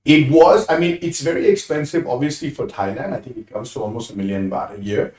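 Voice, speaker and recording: A man's voice: mid-range voice, fairly quick, darkish tone, roomy sound, distant mic. A man in his late thirties with a mixed, American-leaning international accent and Tamil as his first language, chatting loosely as an interview guest, often restarting his sentences. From Malaysia. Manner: rambling, restarts often, laughs easily. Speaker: guest, business owner. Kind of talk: casual interview chat.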